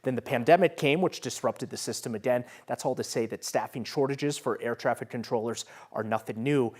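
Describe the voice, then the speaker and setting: American male TV reporter, medium pitch. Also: fairly quick, a little mellow, no echo. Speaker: TV news reporter. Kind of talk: broadcast news report.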